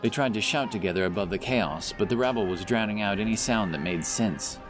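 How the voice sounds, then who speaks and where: A man's voice: lowish voice, fairly quick. A man narrating a scripted fantasy audiobook as storyteller. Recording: narration over loud background music. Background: music, outdoor sound effects.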